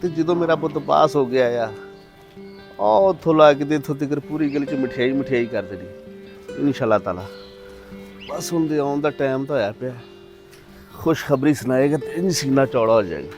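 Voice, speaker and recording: A man playing an emphatic, excitable middle-aged father with a Pakistani Punjabi accent in a moral drama. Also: mid-range voice, fairly quick, pauses often, over music, close to an everyday voice. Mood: hopeful. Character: proud, hopeful father who turns furious. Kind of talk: acted moral-story drama.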